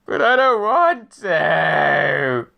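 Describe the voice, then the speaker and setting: A man's voice: a little high, very animated, extremely slow, gravelly, bright, forceful tone, full character voice. A man playing the pompous ghost of a murdered novelist, dithering and self-important, in an audio drama. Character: pompous dead male novelist, now a ghost.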